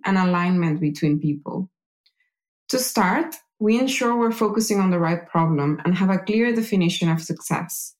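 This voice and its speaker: A woman reading scripted narration in a measured, explanatory way, as an engineering manager presenting. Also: fairly low pitch, darkish tone. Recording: music behind the voice. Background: music.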